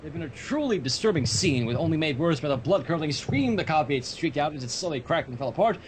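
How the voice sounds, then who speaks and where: Lively high-pitched man reading a story. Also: quick pace, background noise. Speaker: fan fiction reader on a livestream. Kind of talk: storybook narration with casual asides.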